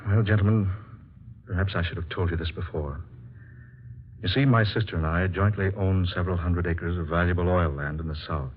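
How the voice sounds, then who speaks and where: A man's voice: sits low, a little fast, slight background noise, close to an everyday voice. An American man playing a brooding, desperate scientist accused of madness, in formal, melodramatic 1940s radio drama style. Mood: grave, confiding. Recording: dull lo-fi vintage radio, music.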